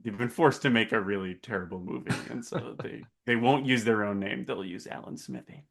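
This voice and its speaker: A man's voice: fairly low pitch, a little fast, vocal fry, fairly bright tone. A man co-hosting a podcast, chatting casually with joking banter. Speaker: podcast co-host.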